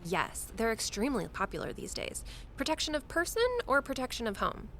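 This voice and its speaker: A woman's voice: on the high side, very animated, fairly quick, a bit bright, slight background noise. A woman playing a wry, witchy shopkeeper in an audio drama, with playful dry humor and faint ambience behind her. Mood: salesy, wry. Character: wry, witchy middle-aged woman shopkeeper selling esoteric curios.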